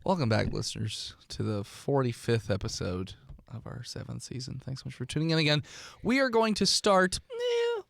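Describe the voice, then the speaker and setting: A man's voice: medium pitch, sing-song, moderate pace, vocal fry, a little hiss or hum. A man hosting a tabletop game podcast as game master, narrating casually to his players.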